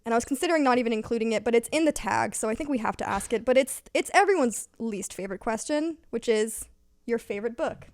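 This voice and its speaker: Woman, slightly high pitch, casual conversational talk, agreeable, very dry room sound. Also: expressive, quick pace, darkish tone, dry recording. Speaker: host. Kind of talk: casual book chat.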